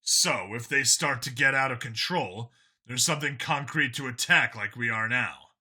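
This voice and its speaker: A man's voice: medium pitch, normal speed, sharp tone, breathy edge. A man voicing an earnest, argumentative, world-weary male cop in an audiobook, arguing in long, insistent speeches. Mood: grave, insistent.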